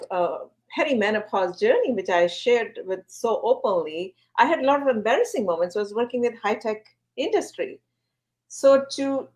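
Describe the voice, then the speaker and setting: A woman from India, a guest on a talk show, answering interview questions earnestly and with many fillers. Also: on the high side. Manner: animated, earnest, uses fillers. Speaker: guest, wellness platform founder.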